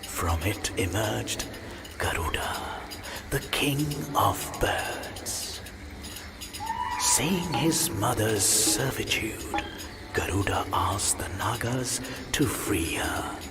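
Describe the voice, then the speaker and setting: A man playing an ancient, serene god who narrates myths in a video game with a breathy, solemn, measured delivery. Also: fairly low pitch, expressive, heavily acted. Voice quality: breathy, whispery. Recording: loud music under the voice.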